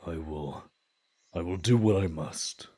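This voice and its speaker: Man playing a very deep, husky, tender father. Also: unhurried, mellow. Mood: earnest, resolute. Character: tender, desperate human father in high fantasy. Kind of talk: fantasy audio roleplay drama acting.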